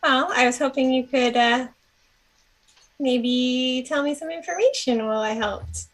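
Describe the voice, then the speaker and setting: A woman's voice: high voice, unhurried. A woman chatting casually as a player in a tabletop game, speaking in a tentative, conversational way.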